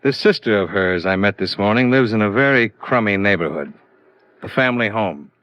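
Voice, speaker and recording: An actor in a 1950s radio drama playing a blunt, hard-boiled insurance investigator who talks in a terse, matter-of-fact way. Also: male voice, fairly low pitch, expressive, normal speed, no room sound, close to an everyday voice. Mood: pressing, blunt. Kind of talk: 1950s radio detective acting. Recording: dull vintage radio recording.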